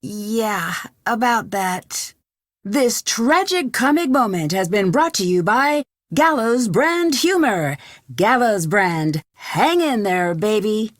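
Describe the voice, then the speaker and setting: A woman's voice: mid-range voice, very animated, slow pace, no room sound. A young woman sleuth in a mystery game. She is earnest and inquisitive, with a mid-range voice and natural, polite delivery. The sound is close and dry, with no room around it. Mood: dry, joking. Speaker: video game voice actor. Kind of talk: scripted game dialogue, clear and natural.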